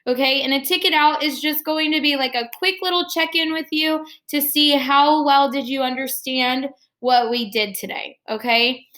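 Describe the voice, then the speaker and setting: A woman's voice: sits high, fairly even intonation, moderate pace, hardly any pauses, sharp tone. A woman teaching young students, reading a story aloud and explaining it step by step in an instructional way. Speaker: elementary school teacher. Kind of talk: read-aloud lesson for children.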